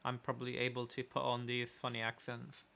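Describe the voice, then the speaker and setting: A young man with a London accent chatting casually with his partner in a relaxed, wordy way, sometimes searching for words. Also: medium pitch, a little fast, gravelly. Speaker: photographer and vlogger, co-host.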